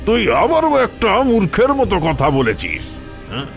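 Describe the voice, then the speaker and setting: A man playing a vengeful, scornful tantric sorcerer in a cartoon, with a gravelly voice and a scolding, commanding manner. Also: sits high, huge pitch swings, moderate pace, full character voice. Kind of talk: dramatic cartoon voice acting. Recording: music behind the voice. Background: music.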